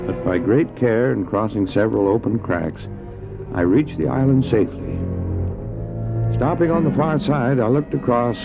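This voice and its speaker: A man's voice: medium pitch, normal speed, natural voice. A man acting an anxious, determined dog-sled driver in a radio drama, telling his story earnestly and urgently. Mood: grave, suspenseful. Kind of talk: first-person dramatic narration, radio drama. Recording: tinny narrow-band old cassette, music behind.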